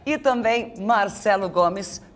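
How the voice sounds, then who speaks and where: A woman hosting a reality show, energetic and cheering on the contestants. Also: medium pitch, slow. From Brazil. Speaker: show host. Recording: light music underneath.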